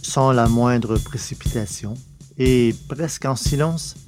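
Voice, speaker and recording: A man's voice: medium pitch, slow, over music. A man narrating a scripted science fiction adventure story in a literary, storytelling style.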